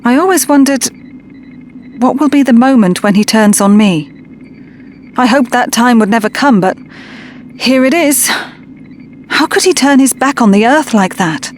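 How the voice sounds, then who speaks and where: Female role, actress in audio drama: conflicted and earnest, wistful, higher-pitched voice, faint background noise. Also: expressive, moderate pace, pauses often, natural voice. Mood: troubled. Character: troubled, conflicted, earnest woman, loyal friend.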